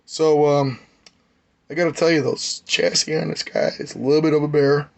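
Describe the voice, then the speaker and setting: Hoarse man with a gravelly voice. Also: fairly high pitch, steady tone, moderate pace. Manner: rambling, enthusiastic, many fillers. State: hoarse, losing his voice. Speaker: model car builder. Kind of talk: casual hobby update.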